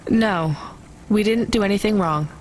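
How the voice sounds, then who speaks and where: A woman's voice: mid-range voice, moderate pace, close to an everyday voice, low-quality recording. Woman acting a wary young delivery driver. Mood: guarded. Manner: questioning, direct. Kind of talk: video game voice acting. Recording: faint vehicle noise behind.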